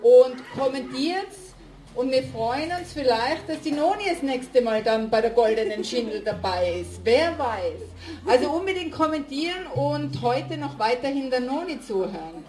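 Woman hosting an event, high voice, roomy echo. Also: lively intonation, normal speed, a little mellow, slight background noise. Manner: friendly, informal, small slips. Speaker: event host. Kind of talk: live event announcement. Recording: echoey room.

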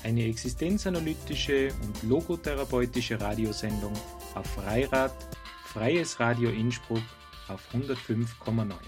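A man reading a formal radio program announcement in a measured way. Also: medium pitch, music in the background. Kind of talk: scripted station announcement.

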